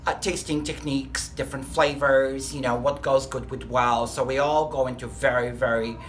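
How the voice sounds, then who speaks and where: A man's voice: medium pitch, steady tone, moderate pace, slight background noise. A man with a Bulgarian accent, a restaurant lead trainer answering interview questions earnestly and enthusiastically, sometimes correcting himself mid-sentence. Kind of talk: workplace interview.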